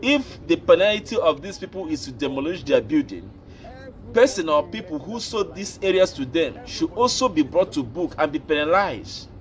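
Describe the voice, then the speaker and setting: A Nigerian man hosting an opinion show, speaking slowly and deliberately, like a preacher. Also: fairly high pitch, very animated, poor audio. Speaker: commentary host. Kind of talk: opinion commentary.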